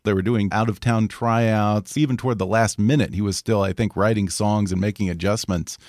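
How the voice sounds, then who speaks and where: A man with a fairly low voice hosts an interview, asking conversational questions and backing his guest with short, attentive affirmations. The sound is dry and close. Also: steady tone, normal speed, darkish tone, dry recording. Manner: attentive, frequent affirming "yeah" and "mm-hmm". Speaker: interviewer host.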